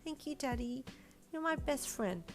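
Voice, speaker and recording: A shy little girl with a squeaky, very high voice that stammers when flustered. She sounds thin and earnest, speaking with childish seriousness. Soft music plays faintly behind. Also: animated, a little slow.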